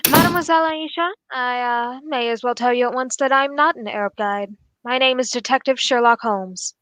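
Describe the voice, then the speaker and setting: A woman playing a calm, courteous male detective in a formal, measured radio drama style. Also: a little high, no room sound, natural voice. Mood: composed, courteous. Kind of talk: 1940s radio drama acting.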